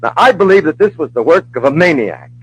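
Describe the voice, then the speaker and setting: A man's voice: a little high, big pitch swings, a little fast, husky, rough recording. An actor playing an anxious, weak-willed businessman who hesitates and pleads, in an old radio drama. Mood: evasive, subdued. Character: nervous, weak-willed businessman under a gangster's thumb. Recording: old radio broadcast recording.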